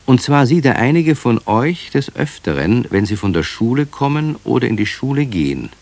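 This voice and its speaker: A man's voice: medium pitch, sing-song, moderate pace, creaky, darkish tone. A man acting as narrator of a children's radio play, telling the story in a scripted storytelling style.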